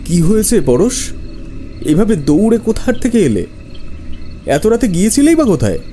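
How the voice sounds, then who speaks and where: A man's voice: high-pitched, very animated, normal speed, warm tone, soft background music. A man playing a humble spiritual seeker, hesitant and pleading, in a horror audio drama. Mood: concerned.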